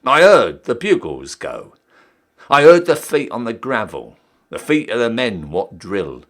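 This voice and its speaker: Man acting a cheeky old-style British soldier, wildly animated. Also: mid-range voice, measured pace, full character voice. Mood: stirred, proud. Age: young adult. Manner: theatrical, emphatic, swooping delivery. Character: cheeky down-at-heel ex-soldier re-enlisting, Victorian British army ranker. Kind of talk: dramatic poetry recital.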